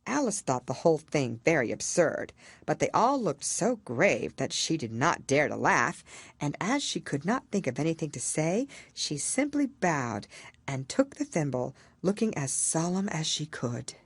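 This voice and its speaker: A woman reading a children's story aloud as an expressive audiobook narrator. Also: low voice, a little slow, slightly rough, no room sound. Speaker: audiobook reader.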